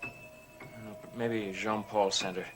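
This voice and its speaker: An actor playing a scheming, evasive occultist relative in a gothic television soap, speaking in a measured, conspiratorial way. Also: male voice, fairly low pitch, little pitch movement, music in the background, natural voice. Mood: sly, insinuating. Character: scheming, smooth male occultist relative after an inheritance.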